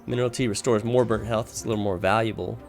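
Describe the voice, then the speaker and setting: A man's voice: lowish voice, fairly even intonation, fast talker, a little mellow. A man casually talking through a video game as its guide, explaining his choices and thinking aloud as he plays. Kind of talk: casual walkthrough commentary while playing. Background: music.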